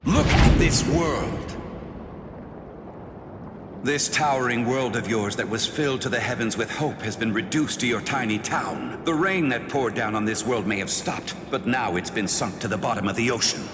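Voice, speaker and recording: An actor playing a cold, stern, haughty sword spirit in an anime game, with an imperious, unyielding manner. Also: male voice, mid-range voice, normal speed, natural voice. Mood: bitter, reproachful. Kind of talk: English anime game voice acting. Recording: cavernous reverb with noisy ambience.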